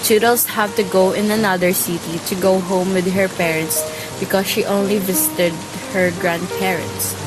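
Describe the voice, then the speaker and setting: A woman narrates a dramatic story from a script. Her voice sits at an average pitch, with loud music and the sound of rain behind it. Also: steady tone, moderate pace, poor audio.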